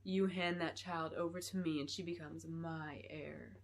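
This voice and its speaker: A woman voicing a cruel, imperious villainess who rages and commands in drawn-out shouts in a storybook reading. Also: low-pitched, fairly even intonation, heavy background noise, pitched below the performer's own voice. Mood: menacing.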